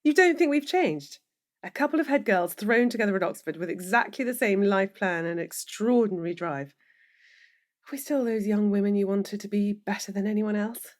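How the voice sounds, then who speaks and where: A woman's voice: mid-range voice, normal speed, close to an everyday voice. Audio drama role for an actress: anxious professional woman, forties, emotional, easily rattled, slightly needling, naturalistic delivery. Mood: wistful, unsettled. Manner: emotional, questioning, easily worked up. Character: anxious, wine-drinking middle-aged professional woman, wistful and needling.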